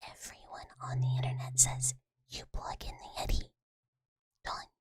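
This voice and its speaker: Whispering woman ASMR streamer. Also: normal speed. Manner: soft whispering, rambling with many fillers. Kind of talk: whispered live-stream chat. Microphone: USB desk mic.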